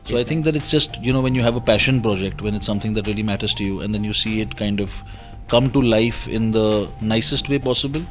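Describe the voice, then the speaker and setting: A man who co-wrote and directed the film, speaking reflectively and haltingly about it. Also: fairly low pitch, faint music behind. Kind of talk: press interview answers.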